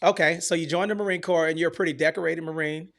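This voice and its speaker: A man with a fairly high voice hosts a casual podcast interview. He talks informally and likes to banter, keeping things jovial as he puts his questions. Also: fairly even intonation, fast talker, vocal fry, a bit bright. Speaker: podcast host.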